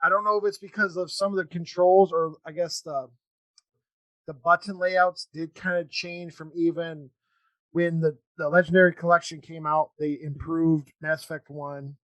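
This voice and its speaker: A man hosting a casual interview chat, talking in a halting, rambling way with false starts. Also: on the high side, level tone, fairly bright tone, no echo, muffled recording. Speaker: podcast host.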